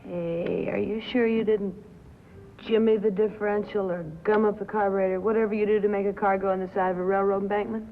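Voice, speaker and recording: An actress playing a tough, bitter young nightclub singer who drinks, with an American accent and a sardonic, drawling delivery, in a 1950s film drama. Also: female voice, medium pitch, fairly even intonation, fairly quick, lightly acted. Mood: needling, sardonic. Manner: sardonic, drawling, dwelling on and repeating words. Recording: tinny, hissy 1950s film soundtrack.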